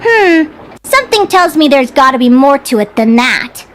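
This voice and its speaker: A woman voicing a bright, curious, earnest bird fae girl in a video game, speaking articulately like a storyteller. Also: high voice, big pitch swings, normal speed, a little hiss or hum. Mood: wistful, curious. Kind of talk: scripted video game voice acting.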